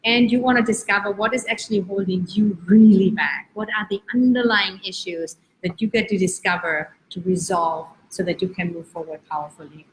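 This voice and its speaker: A woman from Spain, raised in Germany, giving an earnest, encouraging motivational talk as a life coach, slowing down to stretch key words. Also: mid-range voice, slight background noise, poor audio. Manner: earnest, encouraging, uneven pace with drawn-out words. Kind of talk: live-streamed motivational talk.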